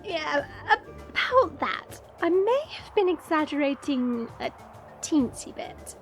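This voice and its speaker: A woman's voice: high-pitched, sing-song, slow pace, faint music behind, exaggerated voice. A woman playing a haughty yet playful young dragon princess in a fantasy audio roleplay, breezy and teasing. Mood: sheepish, evasive. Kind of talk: fan audio roleplay acting.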